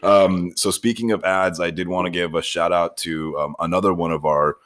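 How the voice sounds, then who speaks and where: Low-voiced American man, casual podcast host. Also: flat delivery, moderate pace, a bit bright. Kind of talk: casual comedic podcast banter.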